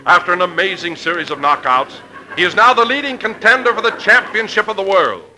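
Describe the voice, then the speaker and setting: A man's voice: high voice, a little fast, pushed and bright, a little hiss or hum, low-quality recording. A man delivering scripted narration as an announcer in 1940s radio style.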